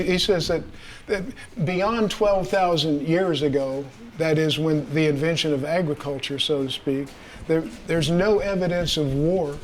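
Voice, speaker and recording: A man's voice: on the high side, a little hiss or hum. A man, an author at a reading, answering audience questions in a casual way, stammering and repeating words as he searches for his thoughts. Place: community room.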